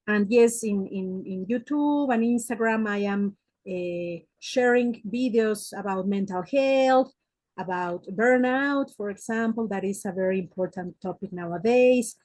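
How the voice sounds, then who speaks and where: A woman from Mexico City with a Mexican Spanish accent speaks as a guest psychotherapist, her voice of middle pitch and set in a dry, dead space. She feels her way through English, hesitating with "eh" and repeating words before each point. She sounds like someone in her forties. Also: a little slow, a little mellow, no room sound. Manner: hesitant, many "eh" fillers and restarts. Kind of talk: interview answers.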